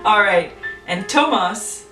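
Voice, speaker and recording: A woman's voice: mid-range voice, expressive, slow pace, pushed and bright. A woman casually making up and telling a story, chatty and thinking aloud. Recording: roomy echo from a distance, faint music.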